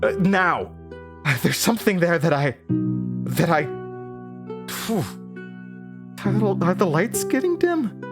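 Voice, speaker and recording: Rattled, stammering horror drama narrator. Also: male voice, sits high, very animated, music in the background, full character voice. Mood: agitated, alarmed. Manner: halting, stammering, nervous self-interrupting. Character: anxious, rattled man recounting eerie childhood memories to recorders. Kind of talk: first-person horror audio drama monologue.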